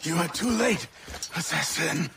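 An actor in a video game playing a dying, dignified gentleman leader with formal, courtly phrasing. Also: on the high side, expressive, unhurried, creaky, heavy background noise. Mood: defiant, resigned. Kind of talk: dramatic video game acting.

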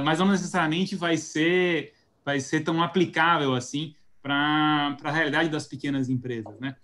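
A Brazilian man with a Paulista accent and a somewhat high voice, talking as a guest on a live video call. He thinks aloud, restarting phrases and leaning on fillers as he builds his examples. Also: a bit bright. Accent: Paulista Brazilian Portuguese. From São Paulo state, Brazil. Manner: thoughtful, hesitant restarts, many fillers. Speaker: guest marketing specialist. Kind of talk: live webinar conversation. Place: home office indoors. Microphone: video call webcam mic.

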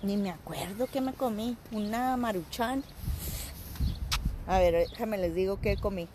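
A woman's voice: mid-range voice, unhurried, background noise. A woman in her forties with a Mexican accent, chatting playfully to viewers on a live phone stream from a seaside walk. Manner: playful, jokey, talking to viewers. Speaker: vlogger. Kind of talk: live-streamed casual chat. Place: windy seaside outdoors. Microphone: phone at arm's length.

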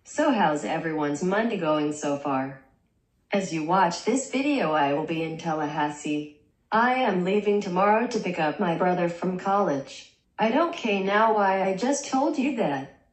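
Deadpan text-to-speech woman voice. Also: on the low side, a little slow, roomy sound, rough recording. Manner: deadpan, dry jokes. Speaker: vlogger. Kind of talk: text-to-speech vlog narration. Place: small bedroom, indoors.

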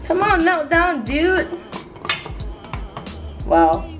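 A woman's voice: very high voice, very animated, drawn-out words, recorded from a distance. A young woman chatting casually and playfully while cooking at home, laughing at her own mistakes. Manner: giggly, self-mocking, playful. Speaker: home cook vlogger. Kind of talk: casual cooking vlog chat. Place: small home kitchen, indoors. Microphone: camera across the room. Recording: roomy kitchen sound from off-mic with music.

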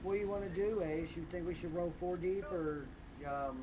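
A man's voice: high-pitched, moderate pace. A man chatting casually with teammates while live streaming a game. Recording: noisy game voice chat.

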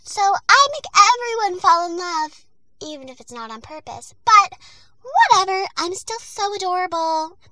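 A woman voicing a bubbly, self-adoring teenage cupid in a loud, gushing, cutesy cartoon audition voice. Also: very high-pitched, lively intonation, a little slow, no room sound, full character voice. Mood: gushing, smug.

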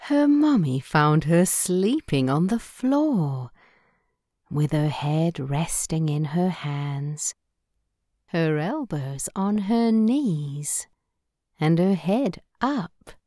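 Female bedtime-story narrator, gentle and extremely unhurried, with lively intonation. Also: low voice, no echo, clean studio sound. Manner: gentle, measured.

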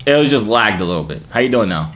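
A young man doing deadpan, playful trash talk in a prank video chat, filmed on a webcam in a small room. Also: lowish voice, quick pace. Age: young adult. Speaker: YouTuber on video chat app.

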